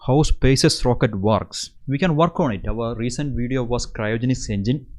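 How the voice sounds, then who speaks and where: A young man with a Malayali Indian English accent talks to his viewers in a relaxed livestream. He is earnest and speaks in an everyday middle pitch. He often repeats a word or starts a phrase over before going on. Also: moderate pace. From Kerala, India. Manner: earnest, repeats words and restarts. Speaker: host of an engineering channel. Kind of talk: casual livestream Q&A.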